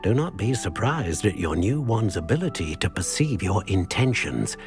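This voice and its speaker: An actor playing an elderly, eccentric wandmaker in a video game, gentle and fussy, musing and murmuring to himself. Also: male voice, fairly low pitch, sing-song, moderate pace. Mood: warm, instructive. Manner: murmuring hesitations, musing aloud, exclaiming. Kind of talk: theatrical video game cutscene acting. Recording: music behind the voice.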